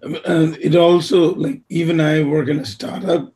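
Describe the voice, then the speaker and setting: A man with a hoarse, failing voice speaking up in a webinar discussion, halting and apologetic. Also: fairly high pitch, flat delivery, warm tone. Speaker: webinar participant working at a startup.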